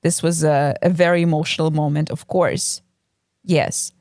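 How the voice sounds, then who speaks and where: A woman's voice: low voice, steady tone, normal speed, a little mellow, no room sound, studio quality. A woman from Russia with a Russian accent, co-hosting a casual book podcast and talking hesitantly, with many ums and restarts. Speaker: podcast co-host. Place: home room with a cat.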